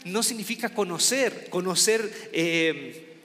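A man's voice: sits high, lively intonation, slow pace, bright, forceful tone, long reverb tail, a little hiss or hum. A man from Chile with a Chilean accent, preaching an informal, conversational sermon with many restarts and a self-mocking tone. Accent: Chilean Spanish. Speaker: pastor.

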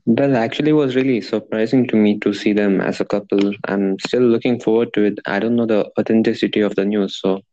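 A man's voice: lowish voice, steady tone, fairly quick, hardly any pauses, mellow. A nineteen-year-old man from Dubai co-hosting a casual podcast over a call, easygoing and agreeable. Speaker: podcast co-host, engineering student. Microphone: call audio. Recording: dull call audio with faint noise.

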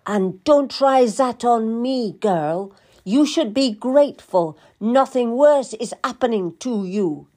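Woman acting proud, furious queen, raised pitch. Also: expressive, slow pace, warm tone. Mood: furious, scornful. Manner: imperious, emphatic, spitting out words.

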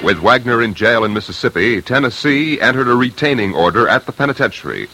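A man's voice: mid-range voice, lively intonation, a little fast, rough recording. A man announcing in a dramatic, scripted old-time radio style. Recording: old radio with loud music.

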